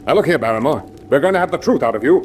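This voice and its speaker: An actor playing a forthright, hot-tempered gentleman landowner in a radio drama, emphatic and blustering. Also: a little high, animated, rapid-fire, slight background noise, lightly acted. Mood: indignant.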